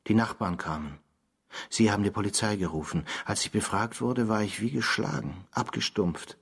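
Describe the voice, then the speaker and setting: An anguished widower, a doubting office clerk in a radio play. His voice sits fairly low and sounds husky. He speaks formally and defensively, tormented throughout. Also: moderate pace, a bit bright, heavily acted. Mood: bitter, numb. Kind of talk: German radio drama acting.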